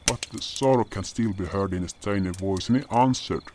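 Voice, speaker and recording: Man acting a low-voiced, solemn spirit guardian. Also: a little fast, lightly acted. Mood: solemn, wistful. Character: old, earnest, gentle spirit guardian recounting his past. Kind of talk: audio drama first-person narration and dialogue. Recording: noisy track with cricket ambience. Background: crickets and outdoor night ambience.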